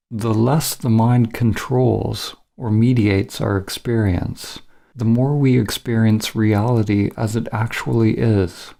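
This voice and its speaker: A man narrating a scripted guided meditation in a measured, solemn, instructional manner as the guide. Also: fairly low pitch, creaky, dark tone.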